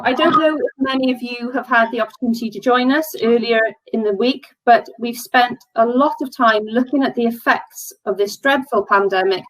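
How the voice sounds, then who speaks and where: A woman's voice: fairly high pitch, no echo, low-quality recording. Formal woman host, dry close sound. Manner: measured, formal delivery. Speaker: conference host. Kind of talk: formal event introduction.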